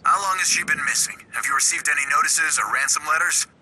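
A man playing a hesitant, bureaucratic police duty officer in a video game, husky and heard through a telephone filter. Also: fairly high pitch, fast, bright, forceful tone, exaggerated voice, low-quality recording. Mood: puzzled, hesitant. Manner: bureaucratic, uncertain.

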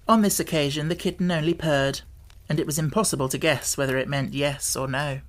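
Woman reading a kids classic audiobook, expressive storyteller, lowish voice. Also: fairly quick. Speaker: audiobook narrator. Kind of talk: scripted narration of a children's classic.

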